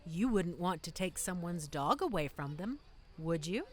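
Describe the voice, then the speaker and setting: A woman playing a loving, protective mother of a young child in an audio drama, with a gentle, coaxing manner. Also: lowish voice, big pitch swings, measured pace.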